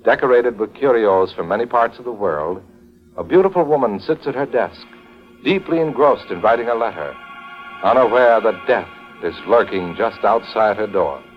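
A man's voice: on the low side, lively intonation, moderate pace. A man announcing and narrating in an emphatic, theatrical 1940s radio style. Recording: dull vintage radio recording, loud music.

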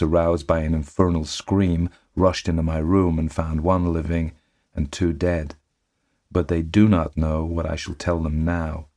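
A man reading a ghost story in a put-on American accent, voicing a dying man making his last confession in grave, measured tones. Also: low-pitched, darkish tone.

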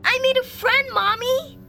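An actor playing a playful, excitable little boy in an audio drama. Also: very high voice, vocal fry, heavily acted. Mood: excited, playful. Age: child. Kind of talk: audio fiction acting. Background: music.

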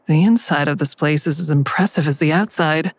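An actress playing a guarded, wry woman investigator who narrates an audio drama in a measured, dry, understated way. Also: low-pitched, background noise. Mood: dry, observant. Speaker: lead actor and narrator.

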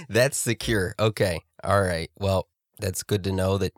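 A man hosting a casual podcast, congested from a cold, chatty and quick to laugh. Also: low-pitched, lively intonation, no echo, studio quality. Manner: laughing, chatty, talks over his co-host. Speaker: podcast host.

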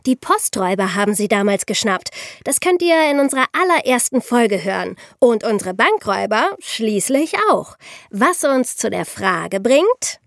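Higher-pitched woman, casual podcast co-host. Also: very animated, normal speed, creaky.

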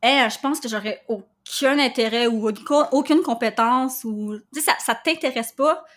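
A woman with a Québécois French accent, chatting as a podcast guest, animated and full of fillers. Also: fairly high pitch, moderate pace. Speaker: guest copywriter. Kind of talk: casual podcast conversation.

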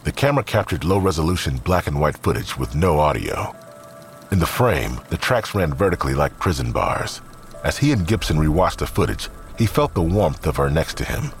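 A man narrating a scripted audio drama in a measured, suspenseful, storytelling manner. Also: very deep voice, big pitch swings, faint music behind.

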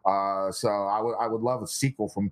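A young man born in South Korea, a guest on a podcast, chatting casually with a relaxed, filler-heavy way of talking. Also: on the low side, moderate pace. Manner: relaxed, laid-back banter with frequent fillers. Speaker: guest analyst.

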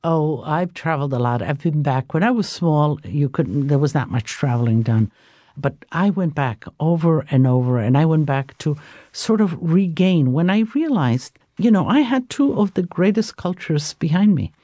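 A woman's voice: low voice, animated, moderate pace, dark tone, no echo. An older woman chef from Istria with an Italian accent, telling her story in a radio interview, with many fillers. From Istria, Italy/Croatia. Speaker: chef, guest.

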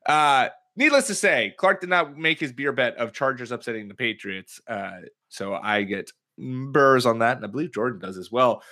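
A man's voice: mid-range voice, animated, moderate pace, sharp tone. A man hosting a casual sports podcast, joking around and slipping in and out of put-on accents. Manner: jokey, puts on shifting accents. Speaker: podcast host.

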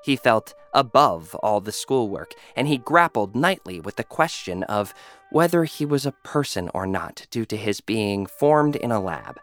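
Deadpan male narrator, low voice. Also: a little slow, soft background music. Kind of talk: first-person scripted narration.

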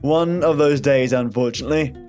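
A man's voice: medium pitch, fairly quick, a little mellow. A man hosting a podcast, giving a chatty scripted introduction in a wry, friendly manner. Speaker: podcast host. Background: plucked guitar music.

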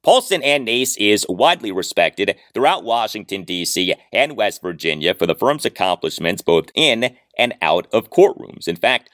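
A man hosting a sports podcast, speaking in an emphatic, deliberate way with stressed key phrases. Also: fairly low pitch, a bit bright, dry recording, studio quality. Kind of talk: scripted ad read and interview questions.

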